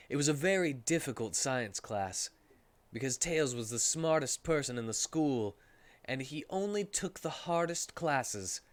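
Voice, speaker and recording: A man's voice: medium pitch, dry recording. A man streaming a dramatic reading of comic fan fiction, narrating in a theatrical, mock-serious way.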